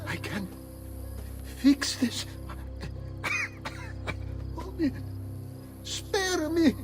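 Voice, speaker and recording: A woman's voice: high voice, very animated, extremely slow, over music, exaggerated voice. An actress playing a terrified woman begging for her life in a game cutscene. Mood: terrified, pleading. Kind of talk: dramatic game cutscene acting.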